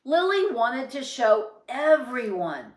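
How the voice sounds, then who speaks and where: Lady reading a kids book out loud, slow n expressive, really stresses words, echoey room. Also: high-pitched, far from the mic, slight background noise. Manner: deliberate, emphatic phrasing. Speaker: storybook reader. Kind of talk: expressive children's read-aloud narration. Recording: roomy, echoing sound.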